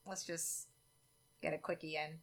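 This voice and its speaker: A young woman co-hosting a casual podcast chat, candid and quick to laugh. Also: deep voice, flat delivery. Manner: chatty, candid, laughs often. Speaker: podcast co-host.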